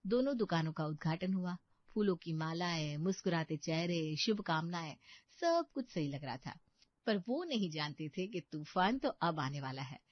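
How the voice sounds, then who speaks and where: A woman's voice: fairly low pitch, lively intonation, normal speed, dry recording, highs cut off. A woman reading a scripted storybook narration as the storyteller.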